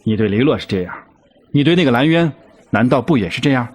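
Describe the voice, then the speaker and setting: An actor playing a brash, blunt wolf king in a costume audio drama, gruff and impatient. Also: mid-range voice, animated, fast. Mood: frank, nagging. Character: brash, blunt wolf king, a grown man at his wedding.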